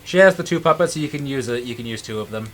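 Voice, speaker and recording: A man's voice: mid-range voice, lively intonation, fast, a bit bright, slight background noise. A man from the United States co-hosting a let's play in casual, rambling chat that often restarts its phrases. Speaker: let's play co-host and gamer. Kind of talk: casual gaming chat and commentary.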